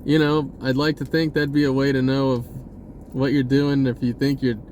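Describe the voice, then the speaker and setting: A man's voice: mid-range voice, little pitch movement, moderate pace, darkish tone, slight background noise, low-quality recording. An American man telling personal stories casually and off the cuff as a self-help vlogger, rambling, slangy and often interrupting himself. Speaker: vlogger sharing spiritual self-help advice. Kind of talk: casual unscripted personal storytelling.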